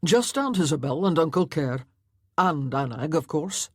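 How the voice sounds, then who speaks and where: A woman's voice: low voice, lively intonation, a little slow, slightly rough, no room sound, studio quality, heavily acted. A woman voicing a grim, weary laird who speaks firmly and in short phrases. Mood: cheerful.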